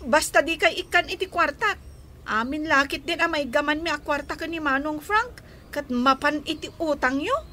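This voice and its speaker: An actress playing a selfish, mouthy young daughter in a radio drama. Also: female voice, high-pitched, expressive, normal speed, sharp tone. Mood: defiant, whiny. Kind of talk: Ilocano radio drama acting.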